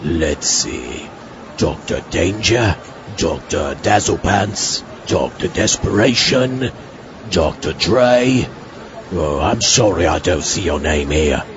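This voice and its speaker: A man voicing an officious science fair greeter in an audio comedy, deliberate and formal. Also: low-pitched, expressive, crowd noise. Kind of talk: audio comedy drama acting. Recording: muffled audio with clear background noise.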